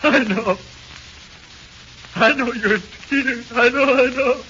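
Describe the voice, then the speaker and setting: An actor playing a frightened small-time killer in 1940s radio horror, with nervous, halting, slangy talk. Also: male voice, extremely high pitch, sharp tone, exaggerated voice. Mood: panicky, pleading. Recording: muffled hissy 1940s radio recording.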